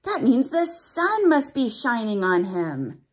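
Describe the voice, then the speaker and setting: A woman with a slightly high voice reads a picture book to small children. She takes her time, drawing out rhyming lines with expression. Between them she explains things in simple, teacherly words and often puts questions to the listener. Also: husky. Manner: drawn-out, expressive reading of the story's rhymes. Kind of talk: animated read-aloud with explanatory asides for young children.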